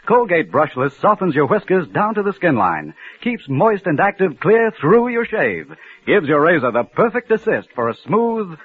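An American man announces scripted commercials in 1940s radio style. His voice is husky and swings animatedly in pitch. It comes through a tinny old broadcast. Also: no echo.

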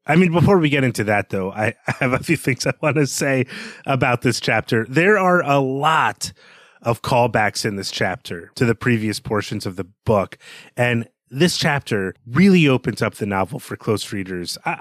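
A man's voice: mid-range voice, fairly bright tone, dry recording, clean studio sound. A man co-hosting a literary podcast, talking conversationally in a thoughtful, hedging way as he analyses a book. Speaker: podcast host.

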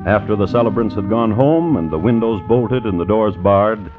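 A man narrating an old-time radio drama in a dramatic storytelling style, building up in measured phrases. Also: lowish voice, lively intonation, close to an everyday voice. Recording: tinny, band-limited old radio broadcast. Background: music.

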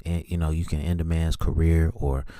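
Deep-voiced man giving mellow sports opinions. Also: flat delivery, normal speed, no room sound, clean studio sound. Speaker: podcast host. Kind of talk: solo sports opinion commentary.

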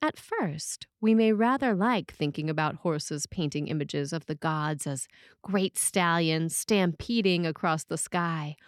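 A woman reads a scripted sermon as a priest, phrasing it in a measured, deliberate way with pauses. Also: low-pitched, no room sound, studio quality. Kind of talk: scripted sermon, read aloud.

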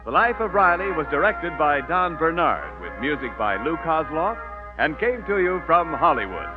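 A man's voice: on the high side, sing-song, moderate pace. An American man announcing and reading scripted advertising in 1940s radio style. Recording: narrow-band 1940s radio broadcast with music.

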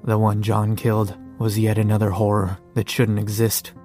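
A man's voice: lowish voice, monotone, normal speed, a little mellow, faint music behind, natural voice. A man playing a weary, sardonic survivor narrating a zombie apocalypse diary in an audio drama. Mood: grim.

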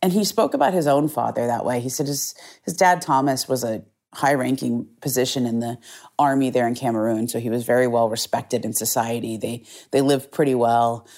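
A woman's voice: a bass voice, fairly quick, a little mellow. A middle-aged woman journalist telling a story in an earnest, reflective way, often restarting her phrases. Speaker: journalist guest. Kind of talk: conversational storytelling interview.

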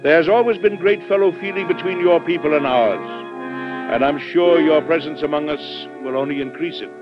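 An actor playing a measured, authoritative American president in a classic radio drama, speaking formally and deliberately as a calm mediator. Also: male voice, on the high side, lightly acted. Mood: ceremonial. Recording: dull old radio recording, music behind.

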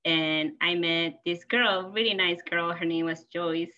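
A woman's voice: on the low side, steady tone, measured pace, pushed and bright. A woman in her thirties from Peru, a native Spanish speaker, telling personal stories casually and cheerfully as a podcast guest, on slightly lo-fi audio. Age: 30s.

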